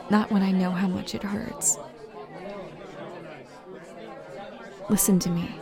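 A woman's voice: mid-range voice, close to an everyday voice. A woman playing a fiercely protective, loving young girlfriend who speaks straight to the listener as "baby" in a roleplay audio.

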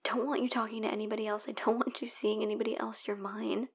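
A woman playing a heartbroken young woman who leaves a hushed, pleading voicemail for her ex, swearing in frustration. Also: fairly high pitch, flat delivery, fast, slightly rough. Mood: desperate pleading. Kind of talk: whispered audio roleplay voicemail.

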